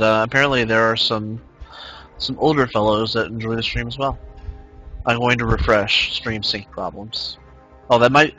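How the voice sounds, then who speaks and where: A man in his twenties chats loosely through a game stream and stops now and then to greet viewers from the chat. His slightly low voice has a bright, forceful edge, and soft game music plays behind him. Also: lo-fi sound. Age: 20s. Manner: rambling, reads chat aloud, many fillers. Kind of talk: casual live-stream chat while gaming.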